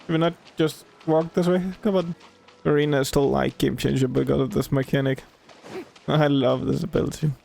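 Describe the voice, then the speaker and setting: A woman's voice: a bass voice, fairly even intonation, a little fast, vocal fry, mellow. A woman giving casual commentary as she plays a video game. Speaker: gaming video host. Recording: game music behind voice.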